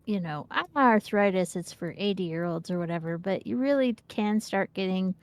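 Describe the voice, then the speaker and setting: A woman's voice: mid-range voice, normal speed, clear, resonant tone, dry recording. A woman in her fifties chatting casually and candidly about gaming as a streamer. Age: 50s. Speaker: game streamer, co-host.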